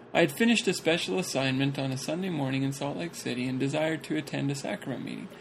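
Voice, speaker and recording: A man's voice: medium pitch, vocal fry, a little hiss or hum, low-quality recording. A man reading a church talk aloud and chatting casually about it, breaking into jokes and laughter between passages.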